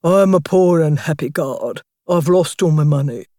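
A woman's voice: sits low, normal speed, dark tone, dry recording, studio quality, lightly acted. A woman voicing a vain female toad disguised as a guard in a storybook, pleading in a fussy, theatrical way.

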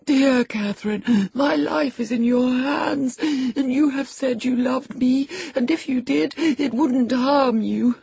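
A woman voicing a sickly, cowardly teenage boy in an audiobook, self-pitying, pleading and halting with anguish. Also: high-pitched, vocal fry, darkish tone, husky, no echo, pitched above the performer's own voice, heavily acted. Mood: sobbing anguish. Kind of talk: audiobook character acting.

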